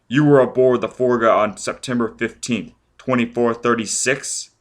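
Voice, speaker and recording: A man's voice: mid-range voice, steady tone, moderate pace, natural voice. A man voicing a ruthless, vengeful man who barks demands and threats. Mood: hard questioning. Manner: menacing, barking demands. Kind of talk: audiobook character voice.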